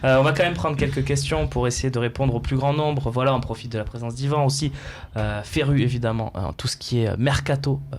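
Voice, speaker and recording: A man hosting a football talk show from a studio, prompting guests with quick interjections. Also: mid-range voice, fairly even intonation, slight background noise.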